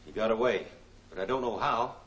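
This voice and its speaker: A man's voice: lowish voice, brisk, fairly bright tone, muffled recording, natural voice. An actor playing a terse, tough henchman who answers to his boss in a 1940s film serial. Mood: defensive. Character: deferential male gang henchman, tough and terse. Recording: echoey, faintly noisy 1940s film soundtrack.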